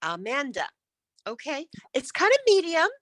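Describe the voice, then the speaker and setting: A woman's voice: high voice, big pitch swings, moderate pace, fairly bright tone, no echo. A woman coaching public speaking in an interactive lesson, encouraging and emphatic as she demonstrates.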